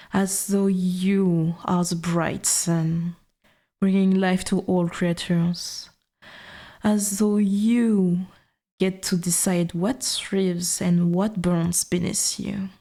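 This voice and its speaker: An ancient female forest spirit voiced by a woman speaks in a dark, mellow voice with a gravelly creak. Her delivery is unhurried and formal, haughty and possessive, as suits a dominant god in an ASMR audio roleplay. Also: mid-range voice. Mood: haughty, scornful. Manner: slow, formal, archaic, condescending speech.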